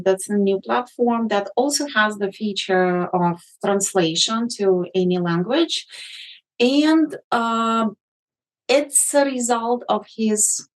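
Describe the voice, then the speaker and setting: Slow-speaking woman guest. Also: mid-range voice, poor audio. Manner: deliberate, with many ums and uhs. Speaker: guest, magazine editor. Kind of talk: interview answers.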